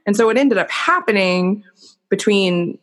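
A woman's voice: mid-range voice, lively intonation, unhurried. A woman in her thirties, a podcast guest, telling her life story in a candid, reflective way with plenty of filler words. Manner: reflective, earnest, many fillers. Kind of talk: candid personal storytelling in conversation.

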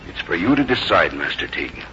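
An actor playing a grave, resolute army commander, speaking in an earnest, oratorical way in 1950s radio drama style. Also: male voice, low voice, very animated, fairly quick, bright, forceful tone, full character voice. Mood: impassioned, grave. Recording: muffled, noisy 1950s radio recording.

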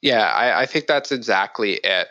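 A man's voice: on the low side, lively intonation, normal speed, pushed and bright, dry recording. A man speaking as a guest in a casual interview, conversational and given to joking asides. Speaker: podcast guest, marketing executive. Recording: dull, muffled audio.

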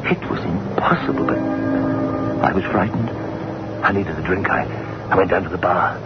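A man's voice: deep voice, normal speed, loud music behind the voice, close to an everyday voice. A man playing a frightened, guilt-ridden husband in an old radio horror drama, agitated, defensive and stammering. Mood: haunted, dread. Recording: noisy, muffled old radio recording.

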